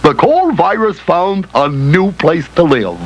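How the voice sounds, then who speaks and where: An actor playing a folksy, emphatic health teacher in an educational cartoon, on an old film soundtrack. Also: male voice, on the high side, big pitch swings, a little slow, muffled recording. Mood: folksy, instructive. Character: animated friendly male health teacher, folksy and instructive. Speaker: explainer guide. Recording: old 16mm film soundtrack, loud music.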